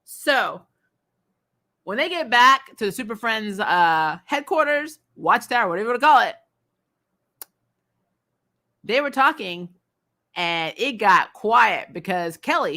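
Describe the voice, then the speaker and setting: A woman hosting a casual, opinionated TV recap, talking in an animated, exasperated way with swearing and frequent laughter. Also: medium pitch, moderate pace. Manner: acts out imagined dialogue. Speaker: host of a TV recap show. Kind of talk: casual opinionated review commentary.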